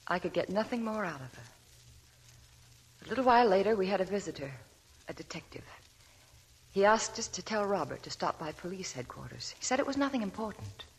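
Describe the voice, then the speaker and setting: A woman's voice: mid-range voice, big pitch swings, brisk, long pauses, breathy edge. An actress playing an anxious young wife who pleads earnestly and narrates her story in flashback, in a 1940s radio drama. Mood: narrating. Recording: old radio broadcast, long reverb, faint music.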